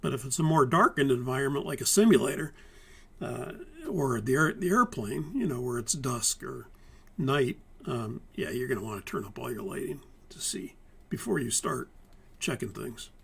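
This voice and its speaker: Male flight instructor, hoarse and raspy, chatty and methodical. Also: medium pitch, lively intonation, normal speed, a bit bright. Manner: methodical, conversational, many fillers and restarts. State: hoarse from a lingering virus. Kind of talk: live-streamed training talk.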